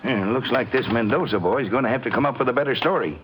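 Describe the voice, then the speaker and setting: A man's voice: mid-range voice, fast, background noise, natural voice. Folksy county sheriff played by a man. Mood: doubtful. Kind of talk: 1950s radio acting.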